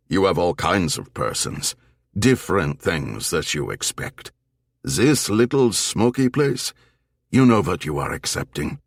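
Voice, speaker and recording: A man voicing a cynical, blunt old German man with a thick German accent and halting English in an audiobook. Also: sits low, vocal fry, husky, dry recording, studio quality, full character voice. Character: gaunt old German man, gruff, cynical, freeloading barfly. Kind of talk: audiobook character dialogue.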